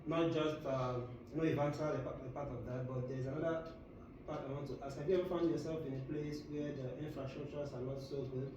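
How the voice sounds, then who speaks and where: A man's voice: mid-range voice, fairly even intonation, fast, lo-fi sound. Guy interviewing a friend, lots of okays and yeahs, echoey room, mic kinda far, some noise. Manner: repeats "okay" and "yeah", many fillers. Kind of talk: casual interview.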